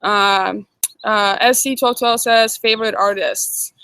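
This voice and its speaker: A woman's voice: medium pitch, steady tone, slow pace, bright, forceful tone, clean tone, dry recording. A young woman from Russia with a Russian accent, hosting a casual livestream Q&A and chatting as she answers viewer comments. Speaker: livestream host. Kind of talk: casual live chat Q&A.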